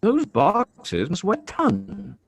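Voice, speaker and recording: Man playing a slow-talking, puzzled policeman dad. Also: high-pitched, huge pitch swings, creaky, heavily acted. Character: middle-aged policeman and father, puzzled, plain-spoken. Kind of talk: live radio drama script reading.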